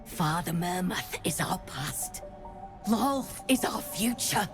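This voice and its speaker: Female giant spider, slow solemn delivery, fervent zealot, game creature, faint music behind. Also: fairly low pitch, lively intonation. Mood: solemn, rousing. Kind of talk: video game voice acting.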